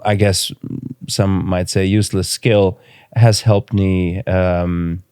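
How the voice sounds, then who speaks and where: A man from Romania, a native Romanian speaker, speaking reflectively as an interview guest and pausing thoughtfully to choose his words. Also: low-pitched, level tone, mellow, clear, resonant tone, no echo, clean studio sound. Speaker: podcast guest, filmmaker.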